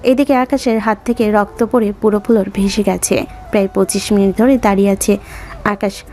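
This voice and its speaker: A woman's voice: mid-range voice, fairly even intonation, brisk, darkish tone. A woman reading scripted dramatic story narration as the narrator. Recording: music under the voice.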